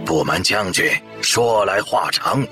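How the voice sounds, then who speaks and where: A man's voice: low voice, measured pace, music in the background. A man playing a courteous village squire of ancient China, speaking formal, old-fashioned lines in an audio drama. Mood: courteous, grateful. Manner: formal archaic speech, sighing exclamations.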